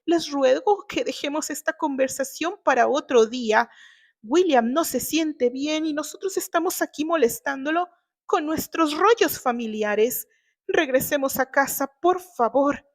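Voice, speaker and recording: A woman voicing a worried, gentle grown daughter who implores and placates. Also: high-pitched, a little slow, pitched above the performer's own voice. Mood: worried, imploring.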